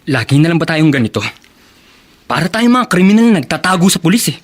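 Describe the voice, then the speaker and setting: Cocky young student, wildly swooping pitch. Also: male voice, very animated, fast, a little hiss or hum. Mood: pleading, cajoling. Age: young adult. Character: cocky, lustful male student, pleading boyfriend. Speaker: radio drama actor. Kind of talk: Tagalog radio drama acting.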